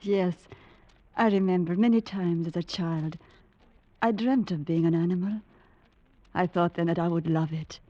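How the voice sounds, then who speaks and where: A woman's voice: on the low side, moderate pace, long pauses, lightly acted. A woman playing a dreamy, wistful wife drawn to the wild forest, in an old radio drama. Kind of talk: 1940s-50s radio drama acting. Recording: dull, roomy old radio recording.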